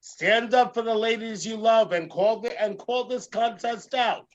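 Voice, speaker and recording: A man with a high-set, gravelly voice speaks as a theatrical talk show host. He delivers emphatic rants, repeating phrases for dramatic weight. The recording is slightly dull and lo-fi. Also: unhurried. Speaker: host, comedian and drag performer. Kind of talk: animated talk show rant.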